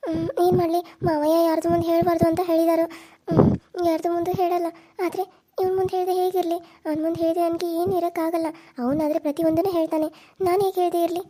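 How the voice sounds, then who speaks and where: Woman doing a scared young bride, north karnataka accent, high and quick. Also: level tone, noisy background. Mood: gentle. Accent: North Karnataka Kannada. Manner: tearful, pleading. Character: frightened young daughter-in-law.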